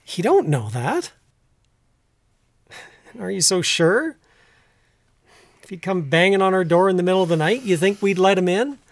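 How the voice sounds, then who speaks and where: An actor with a Canadian accent playing a troubled, earnest middle-aged rural husband in an audio drama, speaking plainly and haltingly. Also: male voice, sits high, very animated, dry recording. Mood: uneasy, probing. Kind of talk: naturalistic audio drama acting.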